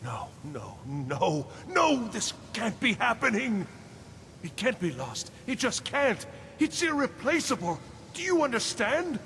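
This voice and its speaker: An actor playing an anxious, obsessive and self-important mage scholar in a fantasy video game, with a fretful, halting, pleading manner. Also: male voice, wildly swooping pitch, a bit bright, exaggerated voice. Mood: panicked. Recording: long reverb with clear low rumbling noise.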